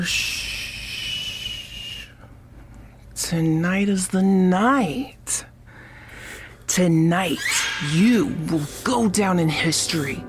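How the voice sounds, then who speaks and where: A woman's voice: sits low, full character voice. A woman playing a deranged, possessive stalker in an audio drama, with a sweetly menacing, taunting delivery. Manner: cooing, taunting, deliberate.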